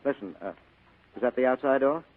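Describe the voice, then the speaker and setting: An actor playing a tough, wisecracking private detective in 1940s radio style, husky and clipped, on a tinny vintage broadcast. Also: male voice, medium pitch, fairly quick, slight background noise, lightly acted. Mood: wry. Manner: clipped, hard-boiled, commanding.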